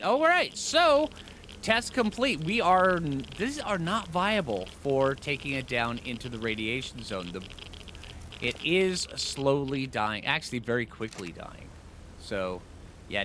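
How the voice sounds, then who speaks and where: A man with a bright, fairly high voice talks through a video game as host. His pitch swings in a lively way as he thinks aloud in loose, slangy phrases. Faint game ambience sits behind him. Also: a little hiss or hum. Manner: informal slangy phrasing, rambling asides. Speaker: gaming channel host. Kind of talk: casual gameplay commentary, thinking aloud.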